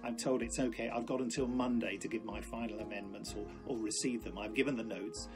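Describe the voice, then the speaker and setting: A man with some gravel in his voice talks in an interview. He explains things conversationally, often pausing to rephrase, with music playing behind him. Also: lowish voice, fairly even intonation. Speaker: audio drama producer.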